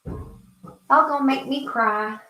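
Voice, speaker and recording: A woman's voice: high voice, takes it slowly, pushed and bright. Chatty Southern woman on a live stream. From South Carolina, US. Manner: chatty and gushing, reading viewer comments aloud. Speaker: crafting channel host. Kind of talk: casual live stream chat while working. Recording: roomy echo.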